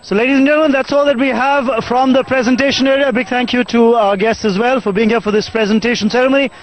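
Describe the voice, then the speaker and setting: A high-pitched man rattles through a post-match presentation at a brisk clip, smooth and formal like a seasoned broadcaster. He is outdoors at a cricket ground, talking into a handheld mic. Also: fairly even intonation, rough recording.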